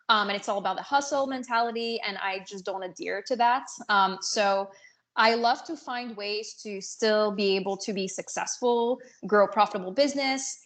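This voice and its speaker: A woman answering casually as an interview guest, earnest and somewhat halting as she searches for words. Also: medium pitch, a bit bright. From North Africa and France. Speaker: podcast guest, business coach.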